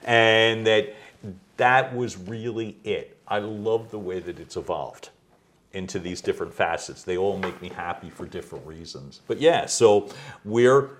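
A middle-aged man from the United States, a voice coach being interviewed at home, who talks loudly and animatedly and laughs easily. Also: lowish voice. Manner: loud, animated storyteller with quick laughs. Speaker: guest, executive voice coach. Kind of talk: conversational interview. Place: his home.

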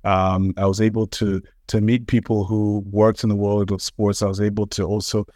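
A man's voice: fairly low pitch, little pitch movement, normal speed, darkish tone, no echo, studio quality. A middle-aged man from Ghana, a sports marketer answering interview questions with enthusiasm and many fillers and restarts.

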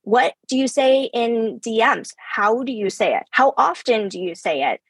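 A woman's voice: on the high side, lively intonation, moderate pace, slightly rough, dry recording. A young woman, a podcast guest giving conversational answers, articulate with small restarts and light laughs. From Canada. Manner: articulate, repeats words at starts, laughs lightly. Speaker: podcast guest, brand strategist.

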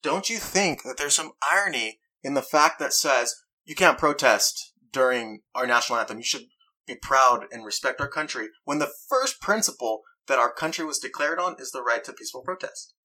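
A man's voice: medium pitch, expressive, moderate pace, pushed and bright, rough recording. A young man hosting a casual talk show, earnestly arguing his point and reading quotes aloud. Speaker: podcast host. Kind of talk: casual debate chat.

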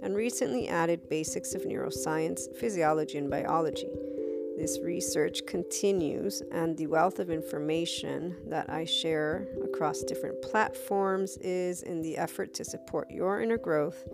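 Loud, crisply enunciating woman podcast host. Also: lowish voice, a little slow, over loud music. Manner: loud voice, enunciates carefully, gets passionate. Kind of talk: scripted intro then casual podcast talk. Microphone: headset.

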